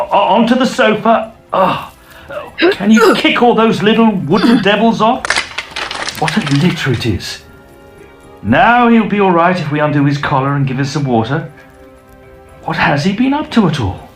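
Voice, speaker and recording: An actor playing a brisk, practical young Oxford student in a period audio drama, using gentlemanly old-fashioned slang. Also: male voice, high-pitched, big pitch swings, roomy sound, soft background music. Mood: brisk concern.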